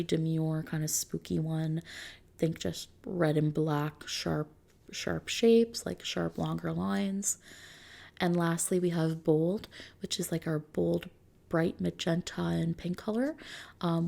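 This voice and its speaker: A soft-spoken woman doing an ASMR roleplay as a consultant, explaining options to a client in an unhurried manner. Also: on the low side, vocal fry.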